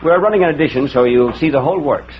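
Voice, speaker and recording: An actor playing a brusque, evasive small-town newspaper editor in 1940s radio drama style. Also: male voice, a little high, fast talker, close to an everyday voice. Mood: affable, explaining. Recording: muffled, hissy old radio recording.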